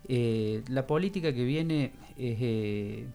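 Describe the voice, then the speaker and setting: A man's voice: mid-range voice, slow pace, dark tone, faint music behind. An Argentine man speaks as a guest on a radio interview, in a mid-range voice. He is earnest and plain-spoken, often repeating a word while he finds the next one. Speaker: political candidate, guest.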